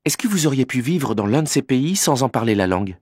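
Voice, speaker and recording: A young male journalism student, played by a man puts formal, scripted questions politely. The voice has an average pitch and is recorded dry, as for a language-course interview. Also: big pitch swings, a little fast, darkish tone, slightly rough, no echo. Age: young adult.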